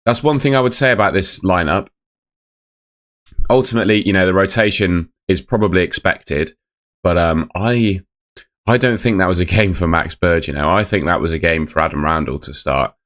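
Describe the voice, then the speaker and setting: A young man hosting a casual live football review, his voice croaky from shouting the night before, chatty and rambling as he reads out chat messages. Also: deep voice, moderate pace. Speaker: fan channel host.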